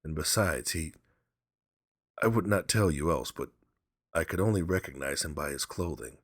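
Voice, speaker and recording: A frightened old man from a gothic storybook, played by a male reader. The voice is deep and rough, shaking and halting with dread. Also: animated, dry recording, clean studio sound, exaggerated voice. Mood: shaken grief. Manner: faltering, shaking, aghast. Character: frightened, shaken old husband, a grieving father.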